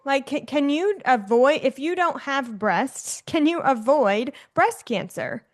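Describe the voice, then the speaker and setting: A woman's voice: high voice, expressive, slow pace. A woman hosting a podcast interview, who is curious and asks her questions directly. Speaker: podcast host. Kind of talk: conversational interview.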